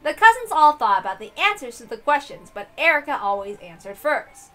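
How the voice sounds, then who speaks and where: A woman narrates a visual novel from the script. Her voice is bright and fairly high, with lively intonation and an expressive storytelling delivery. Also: fairly quick.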